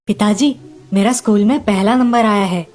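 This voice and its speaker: A woman's voice: mid-range voice, moderate pace, a little mellow, natural voice. A woman voicing an eager, caring young schoolboy in a children's moral story cartoon. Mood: proud.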